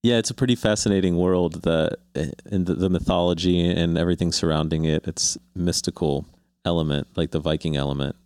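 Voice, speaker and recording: A man hosting a conversational interview, putting his questions in a thoughtful, halting, rambling way. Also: sits low, steady tone, a little mellow, clear, resonant tone, dry recording, clean studio sound. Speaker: interviewer, host. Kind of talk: conversational long-form interview.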